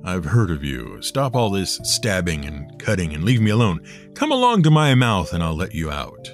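A man's voice: low-pitched, huge pitch swings, normal speed, soft background music, voice pushed down, full character voice. A man voicing a huge, put-upon giant in a storybook tale. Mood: annoyed, slow.

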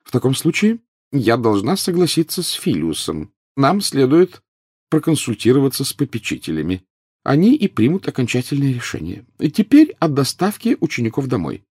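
A man voicing a brisk, businesslike older female professor in an audiobook story. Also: medium pitch, wildly swooping pitch, darkish tone, husky, no room sound, clean studio sound, voice pushed up, heavily acted.

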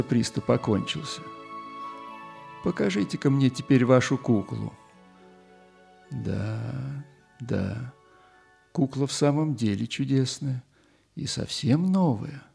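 An actor playing a kindly old newspaper editor in a children's audio play, speaking with gentle, deliberate, old-fashioned courtesy. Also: male voice, medium pitch, vocal fry, husky, heavily acted.

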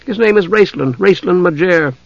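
Storybook young woman, played by a man: raised pitch, proud, evasive, slightly dull recording. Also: normal speed, gravelly, no echo. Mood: haughty. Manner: hesitant, defensive, offhand boasting. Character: haughty, evasive young woman of mixed human blood.

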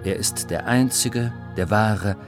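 A man narrates a scripted educational audiobook for children in a measured, clearly phrased storytelling manner. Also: fairly low pitch, music in the background.

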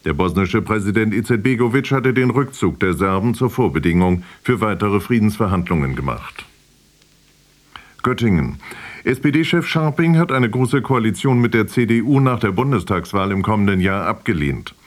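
A man's voice: lowish voice, expressive, moderate pace. A man with a standard German accent reading a scripted news bulletin on the radio. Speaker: radio newsreader.

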